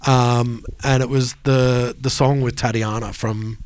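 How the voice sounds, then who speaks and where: A man's voice: medium pitch, little pitch movement, unhurried, a little mellow, slight background noise. A man with an Australian accent talking casually as a podcast host, informal and emphatic, with some swearing. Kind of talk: casual chat.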